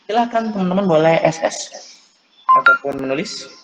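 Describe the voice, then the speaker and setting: A young man teaching French on a video call, drilling pronunciation slowly and repeating phrases. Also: sits high, lively intonation, heavy background noise. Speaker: French tutor. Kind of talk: online French lesson.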